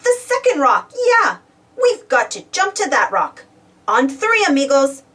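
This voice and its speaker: A woman reading a storybook aloud to children in an expressive, exclamatory way, putting questions to her young listeners. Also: sits high, measured pace. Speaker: storybook reader. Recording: distant from the mic.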